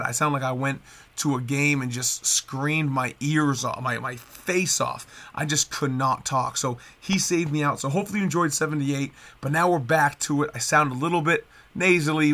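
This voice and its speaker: Man, 30s, upbeat podcast host, congested and nasal, brisk bright tone. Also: medium pitch. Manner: upbeat, chatty, rambling with restarts. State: stuffed-up nasal voice from a cold. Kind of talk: casual solo podcast chat.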